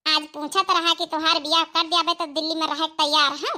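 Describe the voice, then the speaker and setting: A shy young village girl hoping to marry, played by a woman. Her cartoon voice is tiny, very high and bright. She confides coyly in an Awadhi accent. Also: little pitch movement, a little fast. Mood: coy, hopeful. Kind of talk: comedy cartoon voice acting.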